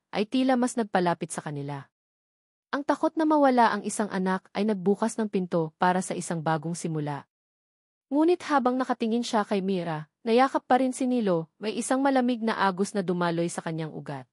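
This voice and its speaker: A woman's voice: mid-range voice, darkish tone, no room sound. Woman narrating drama, dry sound. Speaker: audiobook narrator. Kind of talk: scripted dramatic narration of a romance story.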